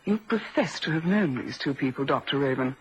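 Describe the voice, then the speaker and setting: An actress playing a prim, frank and defensive secretary in a vintage radio drama, speaking formally and crisply clipped. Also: female voice, low voice, expressive, brisk, a little hiss or hum, poor audio. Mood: indignant, cutting.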